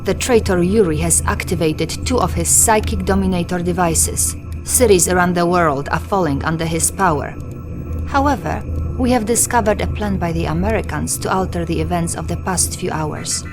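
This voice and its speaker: An actress playing a composed, loyal Soviet communications officer with a Russian accent, formal and measured, in a game. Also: female voice, fairly low pitch, music in the background, lightly acted. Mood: formal, serious.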